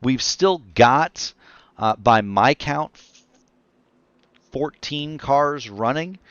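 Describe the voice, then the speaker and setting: A man's voice: medium pitch, clear, resonant tone, no echo. A man calling a sim race on dry lo-fi audio. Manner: rapid excited play-by-play, self-correcting. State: voice getting tired. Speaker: play-by-play commentator. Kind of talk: sim racing commentary.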